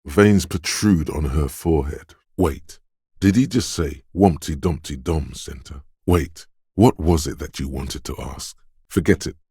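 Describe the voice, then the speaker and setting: A detective's inner voice narrating a video game, super low and husky, deliberate, alert, wry little asides. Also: male voice, dark tone, no room sound, studio quality, exaggerated voice. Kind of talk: scripted game narration, second-person inner voice.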